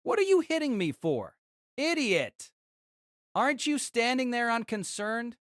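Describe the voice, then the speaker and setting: A man's voice: sing-song, unhurried, a bit bright, dry recording, studio quality, full character voice. A man voicing a hot-tempered, insecure young male mage who snaps petulantly in an audio drama. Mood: furious, embarrassed. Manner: petulant, snapping, shrill outbursts.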